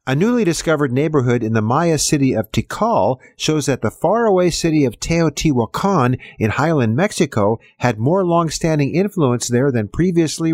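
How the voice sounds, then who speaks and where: A man delivers scripted announcements as the presenter opening a news show. His pitch moves around a lot, giving the read a lively contour, and the sound is very dry with no room around it. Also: no echo.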